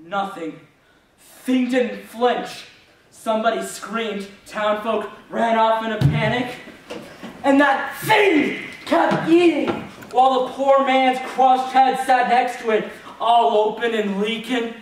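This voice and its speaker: A man playing a blunt, morbid, rough-talking rail yard townsman in dramatic stage storytelling. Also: very high-pitched, slow. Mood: grimly fascinated. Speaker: actor in a student readers theater group. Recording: very echoey hall, distant mic.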